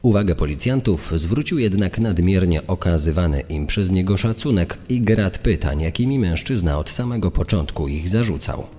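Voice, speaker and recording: Deep, mellow male investigator played by an actor. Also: lively intonation, moderate pace, few pauses, slightly rough, big-room sound. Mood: measured. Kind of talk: dramatised testimony in true-crime documentary.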